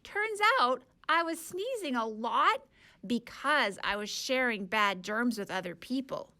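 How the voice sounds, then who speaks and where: A woman's voice: a little high, lively intonation, unhurried, pushed and bright, exaggerated voice. A woman voicing an earnest, wondering male character with a sneezing habit from a children's storybook. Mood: earnest explaining.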